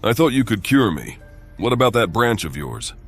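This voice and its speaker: An actor playing a stoic, cautious adventurer in a fantasy game, terse and serious. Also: male voice, on the low side, sing-song, normal speed. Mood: questioning. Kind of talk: video game voice acting. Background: background music.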